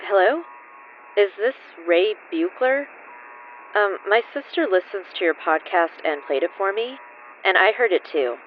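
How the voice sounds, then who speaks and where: A woman's voice: mid-range voice, lively intonation, unhurried. A woman playing an urgent, upset caller leaving a voicemail in an audio drama. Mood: urgent, demanding. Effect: voicemail filter. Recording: dull, noisy voicemail recording.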